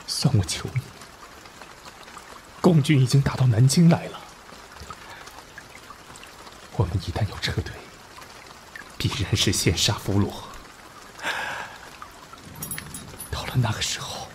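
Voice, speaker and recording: A man's voice: mid-range voice, background noise, lightly acted. A man playing a passionate, betrayed young army officer in an emotional radio drama. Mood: subdued pleading. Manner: impassioned, breaking into bitter laughter and hushed pleading.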